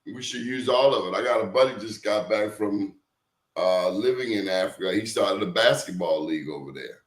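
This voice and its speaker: A man's voice: fairly low pitch, normal speed, roomy sound. A man hosting an interview show, telling stories in an emphatic, preachy way. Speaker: show host. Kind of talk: casual interview talk.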